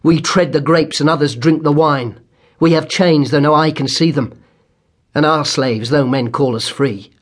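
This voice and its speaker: A man's voice: fairly high pitch, normal speed, close to an everyday voice. A man voicing a bitter, angry, starving weaver in a storybook, declaiming with embittered passion. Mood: bitter, impassioned. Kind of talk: dramatised storybook character reading.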